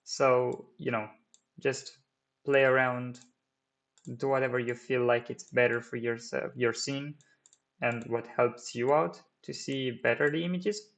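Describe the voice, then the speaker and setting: A man's voice: mid-range voice, steady tone, measured pace, a bit bright. A man whose first language is Romanian, walking viewers through a 3D modelling tutorial at his desk, with many fillers and restarts. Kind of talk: screen-recorded software tutorial.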